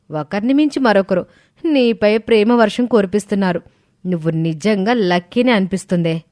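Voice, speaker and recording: A woman voices a sly, suspicious young woman in a cartoon moral tale. Her voice sits at a middle pitch in a dry recording. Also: a little mellow, no room sound, close to an everyday voice. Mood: curious. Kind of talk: scripted cartoon dialogue.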